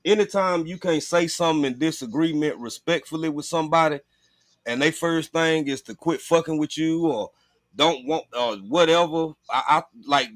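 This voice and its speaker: A man's voice: on the high side, no room sound. A man chatting casually on a livestream in a blunt, profane, informal way. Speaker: livestream host.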